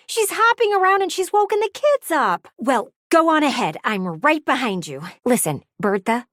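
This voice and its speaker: A woman's voice: high voice, very animated, moderate pace, fairly bright tone, no room sound. Prim nosy game lady, super swoopy and dramatic, gets huffy and condescending, dry close sound. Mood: fussy. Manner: theatrical, gushing, indignant, condescending. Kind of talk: animated video game character acting.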